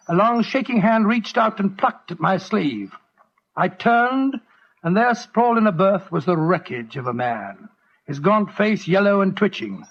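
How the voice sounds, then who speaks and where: A man playing a blustering, loyal British gentleman doctor in an old radio drama, fussy in manner. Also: sits high. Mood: grave narrating. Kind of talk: old-time radio drama acting and narration. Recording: dull, faintly noisy vintage radio recording.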